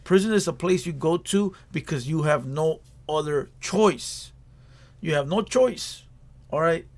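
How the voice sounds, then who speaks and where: Male reaction host, slightly high voice, blunt and slangy, casual commentary that hammers each point. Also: lively intonation, measured pace. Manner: blunt, repetitive, slangy lecturing.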